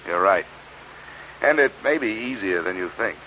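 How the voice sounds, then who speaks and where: An actor playing a cool, sardonic tough investigator in a hardboiled radio drama, with a clipped, dry delivery. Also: male voice, fairly low pitch, animated, moderate pace, lightly acted. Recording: muffled, hissy old radio recording.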